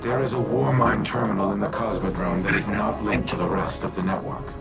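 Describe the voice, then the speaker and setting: Game war AI, played by a man: low, gravelly, formal and calculating, slightly distant under loud music. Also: fairly even intonation, close to an everyday voice. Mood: measured, grave. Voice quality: deep, gravelly. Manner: formal, measured, deliberate. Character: male war-machine artificial intelligence, grave, calculating, self-doubting. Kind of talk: scripted video game dialogue.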